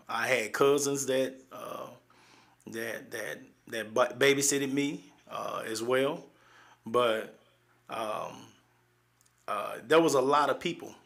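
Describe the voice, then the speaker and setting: A man in his forties with a Southern accent, hosting a live show and telling stories from his childhood in a halting, folksy way, with many fillers and restarts. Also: mid-range voice, creaky, sharp tone. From East Texas, USA. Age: 40s. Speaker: livestream host. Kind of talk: personal storytelling on a live show.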